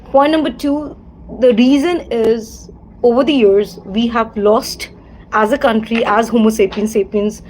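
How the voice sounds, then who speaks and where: A woman's voice: on the high side, a little slow, a little mellow, a little hiss or hum, poor audio. A woman with an Indian English accent, hosting a livestream, talking in a discursive way and circling back to the same set phrases. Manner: discursive, repeats set phrases, frequent fillers. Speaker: livestream host. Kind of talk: casual livestream monologue.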